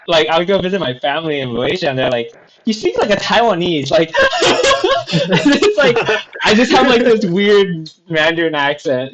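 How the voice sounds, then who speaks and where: A man's voice: fairly high pitch, fairly bright tone. A man chatting casually on a podcast, rambling with lots of fillers and restarts. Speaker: podcast co-host. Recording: slightly dull lo-fi sound.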